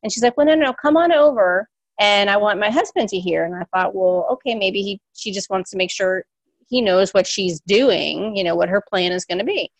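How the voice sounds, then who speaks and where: Woman new coach, quick animated storytelling, close dry mic. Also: medium pitch, dry recording. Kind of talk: casual storytelling.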